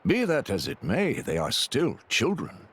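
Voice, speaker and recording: A man voicing an old male tiger beast who serves as a calm, loyal retainer, speaking in a gentle, formal, measured way. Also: medium pitch, breathy edge, full character voice. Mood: gently reproving. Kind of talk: video game voice acting.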